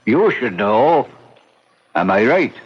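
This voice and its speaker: A man's voice: mid-range voice, big pitch swings, slow pace, husky, heavily acted. An actor playing a sly old Irish ghost uncle in a radio drama, wheedling and impatient, repeating his words. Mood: sly, coaxing. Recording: noisy dull old radio recording.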